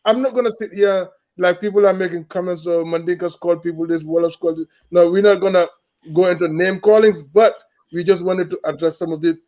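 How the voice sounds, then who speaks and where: Male talk host from Gambia, high-pitched, measured and explanatory. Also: fairly even intonation, darkish tone. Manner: measured, explaining, often asks "you understand?". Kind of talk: panel discussion, mixing English and a local language.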